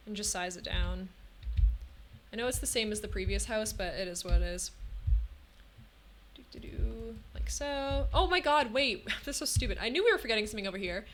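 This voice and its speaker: A woman's voice: mid-range voice, a bit bright, a little hiss or hum. Young woman streamer thinking aloud. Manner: chatty, thinking aloud with frequent hmms and ums. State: throat feels scratchy and hard to swallow. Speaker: game streamer. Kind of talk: casual live-stream commentary while building in a game.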